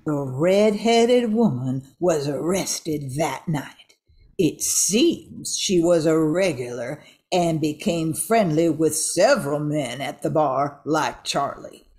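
A woman's voice: low voice, animated, takes it slowly, darkish tone. An older woman reading scripted narration for an amateur radio drama.

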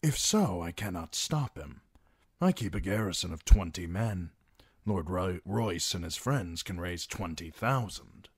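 A man voicing a sly, courteous lord in a fantasy audiobook, speaking in a mild, measured, apologetic way. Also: low-pitched, animated, slightly rough, no echo, clean studio sound, heavily acted. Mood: resigned, mild. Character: smooth, soft-spoken, sly, courteous lord.

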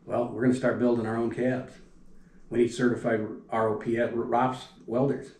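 An older man, a retired factory welder, telling plant stories in a folksy, rambling way that often drops off quietly. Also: mid-range voice, level tone, normal speed. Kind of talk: casual storytelling. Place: dining room at a wooden table. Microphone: camera across the table. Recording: echoey room.

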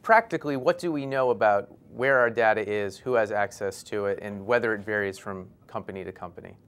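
A man's voice: fairly low pitch, fairly quick, fairly bright tone, clear, resonant tone. A man in his thirties with an American accent, working as a news host and asking interview questions in a newsroom. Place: open newsroom studio, seated at table. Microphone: lapel.

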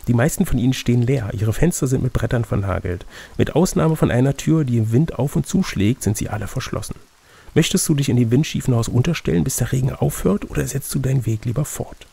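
Mellow, creaky-voiced man reading a gamebook. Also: medium pitch, quick pace. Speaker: reader and game master. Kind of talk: reading a gamebook aloud with casual asides.

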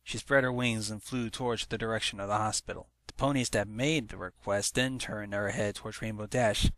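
A man's voice: on the low side, fairly even intonation, brisk, a bit bright, dry recording. A man reading a story aloud as a dramatic scripted narration. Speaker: fan fiction narrator.

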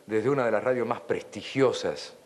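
A man's voice: on the low side, moderate pace. A man in his forties with a Rioplatense accent, hosting and chatting casually in a television studio. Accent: Rioplatense Spanish. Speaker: television host. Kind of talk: casual live hosting chat.

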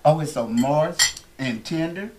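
A man's voice: fairly high pitch, animated, takes it slowly. A man in his fifties, a guest cook chatting in a home kitchen in a folksy, effusive way. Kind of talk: casual cooking show chat. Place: home kitchen and dining room.

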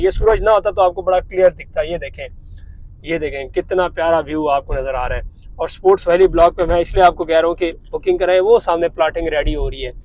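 Man, 30s, from Pakistan, slightly high voice, friendly salesman chat, driving vlog, lapel mic in a car. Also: darkish tone, rough recording. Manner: chatty salesman tone, addresses viewers directly. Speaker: property dealer vlogger. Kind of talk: driving tour commentary. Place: inside a moving car.

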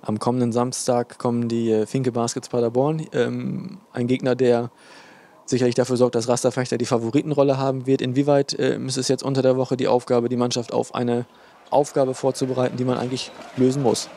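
A male interviewer puts his questions in a dark, relaxed tone. The pitch is middling and stays very even. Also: a little fast. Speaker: interviewer, reporter.

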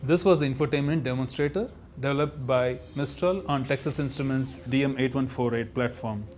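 A man's voice: medium pitch, fairly quick, darkish tone, soft background music. A man reading scripted narration for a product demonstration.

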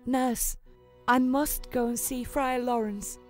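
A woman's voice: a little high, steady tone, slow pace. A woman playing an earnest, determined young noblewoman with dramatic delivery in a story drama. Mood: earnest, resolute. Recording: music under the voice.

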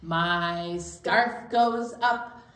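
A woman's voice: lowish voice, drawn-out words. A middle-aged woman hosting an interactive children's storytime in a playful way, recorded indoors at some distance. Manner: playful, animated. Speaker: children's library storytime host. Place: indoor room with fabric backdrop. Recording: roomy, distant mic, background noise.

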